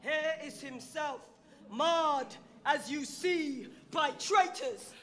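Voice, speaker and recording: Roman orator, high voice, unhurried declamatory Shakespearean delivery, impassioned and cunningly persuasive. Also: female voice, fairly bright tone, slight background noise. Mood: grieving, ironic. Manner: declamatory, rhetorical, weighted pauses. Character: Roman orator, impassioned, grieving, slyly rousing a crowd. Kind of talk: theatrical Shakespearean stage oration.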